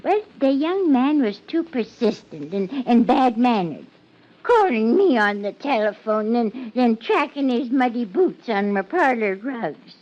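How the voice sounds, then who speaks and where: A fluttery small-town spinster landlady in an old radio play, nervous and easily smitten. Her high voice wavers widely and she takes her time, stammering and hesitating. The recording is muffled and dated. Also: very animated, unhurried. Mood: prim, puzzled. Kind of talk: 1950s radio drama acting.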